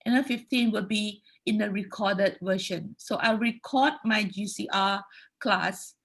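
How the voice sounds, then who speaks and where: A woman's voice: mid-range voice, a bit bright. A woman with a Malaysian accent, a teacher giving an explanatory presentation in an instructive way, often checking in with "okay" and "right". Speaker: high school English teacher giving a conference presentation.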